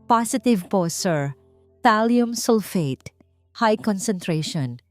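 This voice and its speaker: A woman's voice: medium pitch, lively intonation, slow pace, soft background music, pitched above the performer's own voice. A woman voicing an anxious, deferential male assistant in an audiobook, respectful and urgent. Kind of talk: audiobook character acting.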